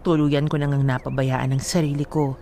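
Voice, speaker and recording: A woman's voice: a bass voice, flat delivery, normal speed, dark tone, slight background noise. A woman giving a sorrowful, confessional first-person narration for a radio love story. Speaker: letter narrator. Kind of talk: scripted dramatic narration.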